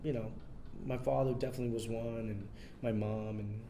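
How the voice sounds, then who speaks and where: A man being interviewed, talking in a relaxed way with many fillers and restarts. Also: lowish voice, fairly quick, gravelly, slight background noise. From United States. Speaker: interview guest, son of a famous bandleader. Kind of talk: casual interview.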